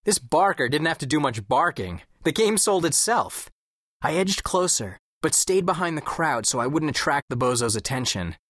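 A man's voice: on the high side, sing-song, moderate pace, fairly bright tone, dry recording, clean studio sound. An actor playing an observant, wry teenage boy who tells his own story as scripted audiobook narration. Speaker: first-person audiobook narrator.

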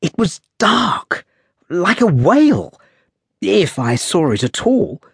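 A man voicing a lively, teasing young woman passenger on a ship, speaking high and playful. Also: slow, husky, no echo, clean studio sound, full character voice. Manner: bright, playful, raised pitch.

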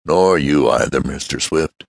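A man's voice: rumbling low, measured pace, vocal fry, no room sound, studio quality, heavily acted. A man voicing a hopeful, persistent male fortune hunter with an earnest, persuasive manner. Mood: disappointed.

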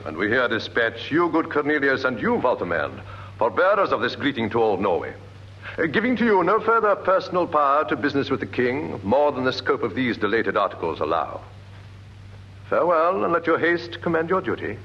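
A man's voice: mid-range voice, sing-song, fairly quick. Stately British king, swooping delivery. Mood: brisk, authoritative. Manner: stately, declamatory, rhetorical. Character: smooth, commanding new king addressing his council. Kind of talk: classical Shakespearean radio acting. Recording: dull, noisy vintage radio recording.

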